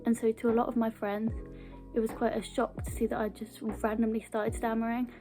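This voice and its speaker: A woman's voice: fairly high pitch, little pitch movement, brisk, mellow. Earnest British woman with a mild stammer. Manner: earnest, with small word repetitions. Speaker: video blogger. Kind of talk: casual personal talk to camera. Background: background music.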